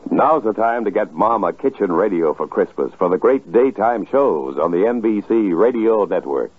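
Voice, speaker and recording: A man's voice: fairly low pitch, lively intonation, moderate pace, breathy edge. A man reading a scripted 1950s radio promo on a tinny old recording. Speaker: radio announcer.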